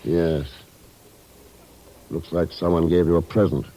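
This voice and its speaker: An actor playing a cool, unflappable investigator with a dry, clipped manner, in old radio drama style. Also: male voice, low-pitched, flat delivery, unhurried, warm tone. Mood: grim. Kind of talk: 1950s radio drama acting. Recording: noisy vintage radio recording.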